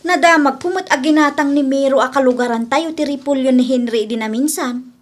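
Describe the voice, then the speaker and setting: A woman's voice: high-pitched, a little fast, close to an everyday voice. A woman playing a chatty, nosy village woman in an Ilocano radio drama, with an Ilocano Filipino accent and an inquisitive manner. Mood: curious, friendly.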